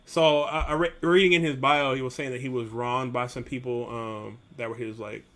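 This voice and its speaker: A man's voice: medium pitch, fairly bright tone. A man hosting a reaction video, speaking informally and analytically as he muses aloud in casual commentary.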